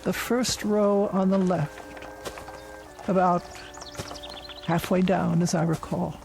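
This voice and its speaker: An imperious, lonely old spinster, in a vintage television drama. She speaks very slowly and haltingly, insisting on every point, with faint music behind her. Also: lowish voice, fairly even intonation, creaky, darkish tone. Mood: quietly commanding. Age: older. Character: imperious, lonely elderly spinster haunted by lost fiancé.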